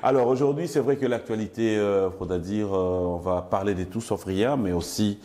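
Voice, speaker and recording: A man's voice: on the low side, moderate pace, dark tone. A man, hosting an interview in a playful, teasing way. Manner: playful, joking, laughs often. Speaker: interview host.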